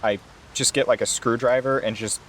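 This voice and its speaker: A man's voice: mid-range voice, steady tone, normal speed, a bit bright, slight background noise. A man playing a tabletop role-playing game, improvising his actions and dialogue casually.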